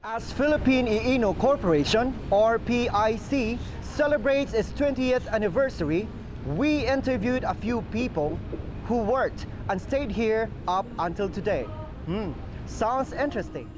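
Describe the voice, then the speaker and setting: A woman's voice: a little high, animated, a little slow, background noise. A woman, giving inviting, scripted narration for a corporate video. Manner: inviting, questioning.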